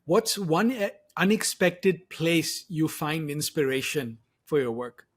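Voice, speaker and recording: A man hosting an interview podcast, speaking in measured, clearly phrased sentences. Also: a little high, expressive. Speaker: podcast host. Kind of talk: interview hosting and scripted takeaways narration.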